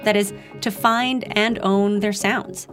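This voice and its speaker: A woman's voice: medium pitch, fairly even intonation, slow pace, over music. A woman from the Philippines hosting a podcast, chatting conversationally and guiding the segments. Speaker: podcast host.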